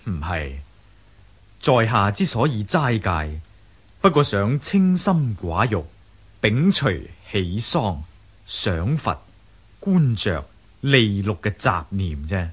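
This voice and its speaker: A man acting a humble, earnest woodcarver of ancient China in a radio drama, husky, measured and deferential, with a Hong Kong Cantonese accent. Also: on the low side, sing-song, heavily acted.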